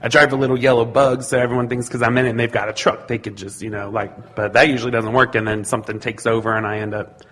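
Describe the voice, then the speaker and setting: A man's voice: medium pitch, steady tone, quick pace, a bit bright. Man in an echoey hall, asking casually. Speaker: audience participant asking a question. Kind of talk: casual, unscripted question and answer. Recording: echoey hall with faint noise.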